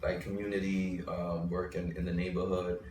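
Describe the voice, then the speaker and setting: A young man with a very low voice talks through his story in a loose, rambling way, often restarting mid-thought. He sounds set back from the mic in an echoey room. A faint noise sits under him. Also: completely flat delivery, normal speed, a little mellow, recorded from a distance. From Bushwick, Brooklyn, New York. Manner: rambling, restarts, thinking aloud. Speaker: rapper and interview guest. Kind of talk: casual interview talk.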